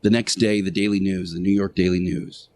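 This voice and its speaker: A man hosting a radio show, preaching in a deliberate monologue with emphatic short phrases, pauses and repetition. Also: low voice, flat delivery, a little mellow, a little hiss or hum. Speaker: radio show host playing Jesus in interactive radio theater.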